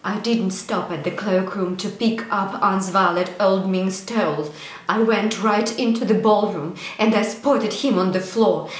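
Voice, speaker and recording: An actress performing a stage monologue as a young woman haunted by a painful memory, moving between hushed whispers and loud outbursts. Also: medium pitch, close to an everyday voice. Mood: furious. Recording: echoey room, faint noise.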